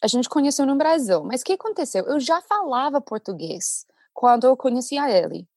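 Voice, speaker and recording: A fairly high-pitched woman chats away in Portuguese, her being English. She talks in an animated, easygoing way and keeps checking in with little tag questions. The recording is close and dry. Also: brisk, no echo. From United States. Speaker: Portuguese teacher and host. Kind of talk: casual conversation.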